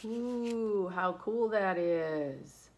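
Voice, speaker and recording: A woman reading a picture book aloud with expressive, dramatic emphasis, lingering on words and adding casual asides. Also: mid-range voice, drawn-out words, slight background noise. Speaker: read-aloud storyteller.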